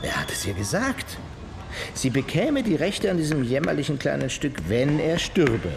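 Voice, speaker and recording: A man's voice: on the high side, very animated, moderate pace, vocal fry. An actor playing an irritable, condescending show-business man in a radio drama, exasperated and emphatic. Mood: irritated.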